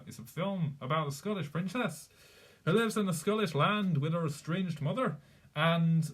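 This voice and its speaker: A young man chatting casually on a live stream, rambling, swearing freely and laughing often. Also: fairly high pitch. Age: young adult.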